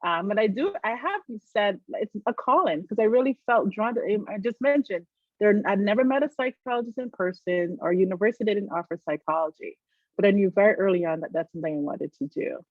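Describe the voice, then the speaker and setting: A woman's voice: medium pitch, lively intonation, fairly quick, no room sound. A middle-aged woman from Barbados, a psychologist, reflecting thoughtfully as a guest in an interview. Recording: dry tinny phone-like line.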